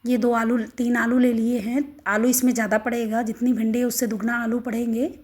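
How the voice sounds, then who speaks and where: Hoarse-throated woman cooking at home, talks you through each step, voice slightly high. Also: flat delivery, fairly quick, darkish tone. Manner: homely, step-by-step instructions. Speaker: cooking channel host.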